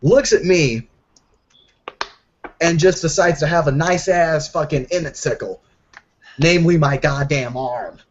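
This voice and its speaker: A man's voice: on the high side, unhurried, pauses often, a little hiss or hum. Slangy man telling a story, lo-fi call. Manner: heavy swearing, slangy, animated recounting. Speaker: tabletop roleplay player. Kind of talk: casual in-character storytelling. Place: online group voice call. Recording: dull lo-fi voice call.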